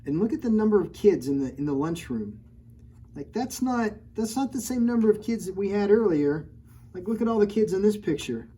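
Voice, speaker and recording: A woman's voice: mid-range voice, expressive, brisk, warm tone, slightly rough, rough recording. A woman librarian reading a picture book aloud to children, stopping often for playful, teasing remarks about the pictures. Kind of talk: storytime read-aloud with chatty asides.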